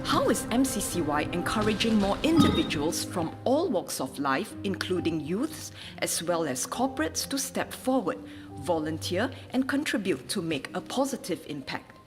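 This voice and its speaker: A woman with a Singaporean accent delivers a formal, measured, prepared speech as a member of parliament. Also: on the low side, very animated. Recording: music playing over the speech. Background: music from a video game.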